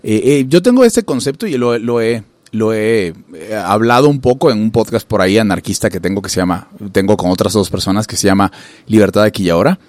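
A man's voice: lowish voice, expressive, a little fast, darkish tone, no echo. A man from Venezuela hosting a casual interview, asking long, loose questions padded with "eh" and "este". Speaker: podcast host, interviewer.

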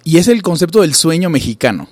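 A man's voice: on the high side, very animated, fast talker, darkish tone, dry recording. A man from Venezuela hosting a casual interview, asking long, loose questions padded with "eh" and "este". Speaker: podcast host, interviewer.

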